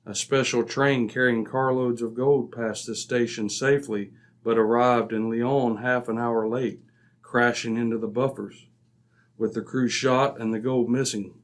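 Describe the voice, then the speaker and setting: An actor playing a composed, incisive British gentleman detective in an old-time radio mystery, speaking briskly and precisely. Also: mid-range voice, fairly even intonation, close to an everyday voice. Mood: calm, wry. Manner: brisk, precise, self-assured.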